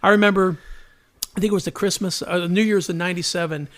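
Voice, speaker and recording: A man hosting a podcast, chatting casually in an enthusiastic, animated manner. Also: high voice, fast. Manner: enthusiastic, animated, laughs readily. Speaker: podcast host, professional entertainer. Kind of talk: casual chat.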